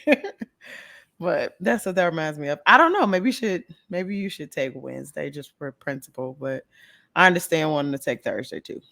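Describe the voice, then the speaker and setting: Hoarse young woman, podcast co-host, laughs a lot, playful. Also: low voice, expressive, moderate pace, sharp tone. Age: young adult. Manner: laughs often, playful teasing. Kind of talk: casual chat.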